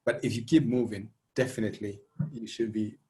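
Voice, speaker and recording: A man speaking as a guest on an online panel, relaxed and loose with "like" fillers. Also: lowish voice, a little fast. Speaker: guest from a council digital team. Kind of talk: casual panel discussion. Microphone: video call.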